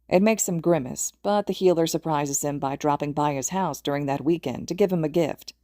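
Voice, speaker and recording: A woman's voice: deep voice, brisk, warm tone, dry recording, studio quality. A woman reading a story aloud as a scripted audiobook narration. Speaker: podfic narrator.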